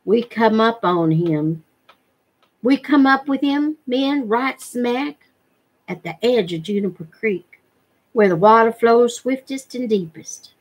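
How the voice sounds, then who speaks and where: Lady reading as folksy little hunter dad from a storybook, mild, slow country yarn spinner. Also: fairly high pitch, lively intonation, poor audio. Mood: spellbinding, grave. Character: small backwoods hunter father, mild, spellbinding storyteller.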